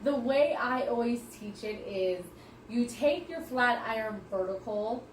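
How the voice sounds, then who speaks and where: A woman's voice: on the high side, animated, a little slow, distant mic, a little hiss or hum. Confident woman explaining in an echoey room. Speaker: podcast co-host, hairstylist. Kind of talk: casual chat.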